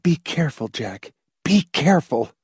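Audiobook wife read by a man: lifted pitch, protective, sly, used to giving orders. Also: very animated, moderate pace, warm tone, no room sound, studio quality. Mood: hushed warning. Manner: clear, commanding.

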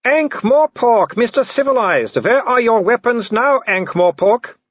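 A man's voice: very high-pitched, sing-song, fairly bright tone, no echo, highs cut off, pitched above the performer's own voice, heavily acted. Male werewolf villain, mocking, cheerfully cruel, raised swooping voice, storybook. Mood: gleeful taunting. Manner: mocking, halting non-native phrasing.

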